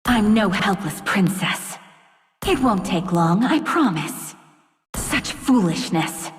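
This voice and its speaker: Long reverb and music carry this vengeful fairy-tale queen from a video game. She speaks slowly and with cold, regal poise. The delivery is proud and dramatic. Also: medium pitch, animated. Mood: cold resolve. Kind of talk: video game character voice lines.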